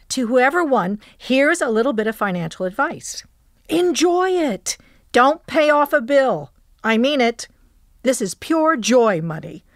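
A woman's voice: fairly high pitch, no echo, clean studio sound. An actress playing Sheila, an outrageous older woman teasing her friends in a farewell video. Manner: mischievous, laughing, teasing. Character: brash dead woman Sheila, outrageous and charismatic. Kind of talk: radio play acting.

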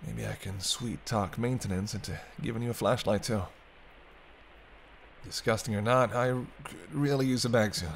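A man's voice: on the low side, moderate pace, vocal fry, a little hiss or hum. A man playing a sardonic but protective vampire in an intimate roleplay audio drama, bantering and speaking straight to the listener. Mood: gentle, guiding. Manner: bantering, wry, murmured asides. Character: teasing, sardonic male vampire, centuries-weary but protective.

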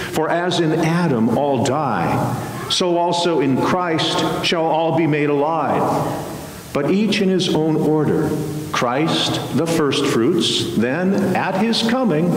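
An American man, middle-aged or older, preaching a sermon as a pastor with measured, emphatic phrasing and pauses. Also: on the high side. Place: large church sanctuary, at the pulpit. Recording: very echoey church hall, faint hiss.

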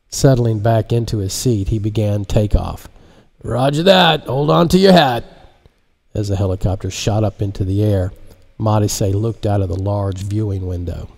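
A middle-aged man narrates a novel in a low voice. His tone is dark and mellow, and he reads in a deliberate, measured way, close to the microphone. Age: 50s. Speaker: author reading his audiobook. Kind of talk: scripted narration, audiobook reading. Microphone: large studio microphone close in front.

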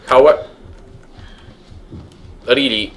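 A man's voice: medium pitch, slow, fairly bright tone, a little hiss or hum. Young man, Polish accent, horror game streamer, chatty, nervous mutters, home desk mic. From Poland. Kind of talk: horror game commentary with chat. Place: home room, indoors.

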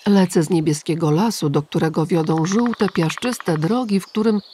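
A woman voicing a tired, kind old grey male pigeon who calmly reassures a child in a storybook. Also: lowish voice, normal speed, background noise, close to an everyday voice. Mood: reassuring. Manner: calm, gentle and reassuring.

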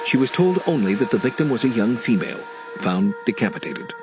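A man giving grave, measured scripted narration for a television documentary. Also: medium pitch, big pitch swings. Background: music.

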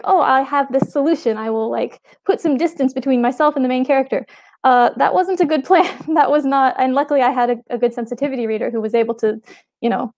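A woman's voice: sits high, darkish tone. A woman author on a video call panel, chatting with many fillers and laughs. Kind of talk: casual panel talk. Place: home room on a video call. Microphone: video call webcam mic.

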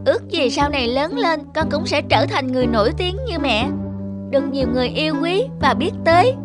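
A proud little cartoon schoolgirl, with a high, childlike voice. She is eager and boastful in everything she says, with clear music underneath. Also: animated, brisk. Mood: admiring. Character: proud, boastful little schoolgirl idolizing her famous mother. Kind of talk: animated moral-tale dubbing. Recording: music behind the voice.

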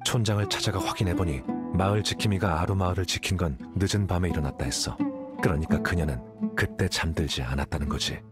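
A man playing a calm, aloof young scholar in a Korean game, explaining things in a composed, matter-of-fact way. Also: low voice, fast, loud music behind the voice, natural voice. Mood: composed, detached. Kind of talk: Korean game dialogue voice acting.

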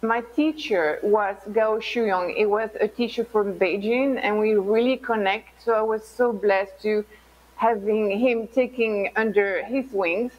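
A woman's voice: medium pitch, unhurried. Middle-aged Belgian-raised woman on a dull video call. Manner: animated, non-native phrasing, hand gestures. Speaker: artist guest.

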